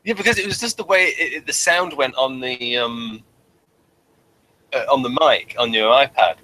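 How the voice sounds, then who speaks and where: A man hosting an informal review show, speaking in a halting, digressive way and often correcting himself. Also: medium pitch, sharp tone, poor audio. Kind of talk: informal review chat, reading out a summary.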